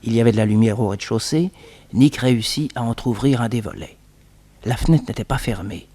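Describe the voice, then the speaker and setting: A man with a medium-pitched voice narrates a vintage radio mystery. His delivery is scripted storytelling in the manner of a 1960s serial. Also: brisk, mellow.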